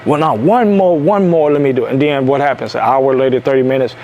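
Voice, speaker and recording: A man's voice: medium pitch, fast, a little mellow, muffled recording. A man from Detroit giving a preachy motivational monologue as the host of a self-help video, stressing his points by saying them again. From Detroit, USA. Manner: emphatic, repeats lines for stress.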